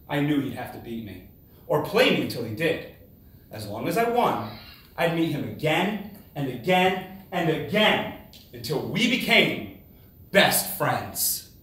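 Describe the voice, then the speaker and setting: A man giving a rehearsed, humorous storytelling speech in a theatrical manner, with dramatic pauses and exclamations. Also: on the high side, fairly bright tone. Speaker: contest speaker. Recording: very echoey room, distant microphone.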